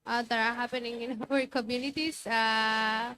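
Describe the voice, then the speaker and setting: Woman, interpreting, slightly high voice, halting with fillers. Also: fairly even intonation, sharp tone, poor audio. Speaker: interpreter for fellow activist. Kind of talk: consecutive interpretation.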